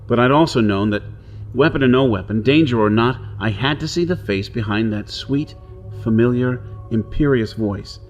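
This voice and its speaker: A male actor plays a hard-pressed outlaw in a pulp science fiction radio drama. He is wary and brusque, with a brooding, dramatic delivery in a medium-pitched voice. Faint music runs underneath. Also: moderate pace, darkish tone, highs cut off, close to an everyday voice. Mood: brooding, reflective. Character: brusque, wary hunted outlaw man on Mars. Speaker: narrator and lead actor. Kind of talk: pulp radio drama acting and first-person narration.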